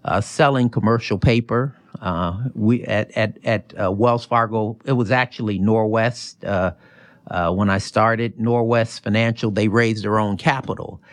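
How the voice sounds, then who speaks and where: A middle-aged man from Chicago with an American accent, answering interview questions informally, often halting and restarting. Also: lowish voice, steady tone. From Chicago, United States. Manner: informal, halting, self-correcting. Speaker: interview guest, finance executive.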